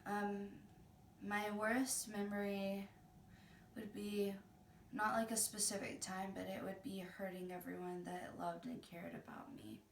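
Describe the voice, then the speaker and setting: A woman's voice: medium pitch, flat delivery, a little slow, vocal fry, a little hiss or hum. A woman in her twenties speaking hesitantly in a documentary interview, with many ums and likes. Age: 20s. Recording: echoey room, from a distance.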